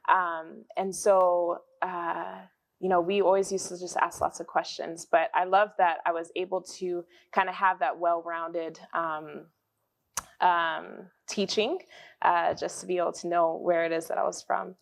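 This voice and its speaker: Soft-spoken American woman interview guest. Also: lowish voice, little pitch movement, a little slow, vocal fry. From USA (Denver, Colorado), Rwandan parents. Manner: thoughtful, with frequent ums and soft affirmations. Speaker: guest, entrepreneur and mother. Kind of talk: conversational interview answers. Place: studio.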